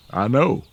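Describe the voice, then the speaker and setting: An actor playing a gentle, folksy man who speaks softly and slowly and calls people darling. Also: highs cut off. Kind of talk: audio drama acting.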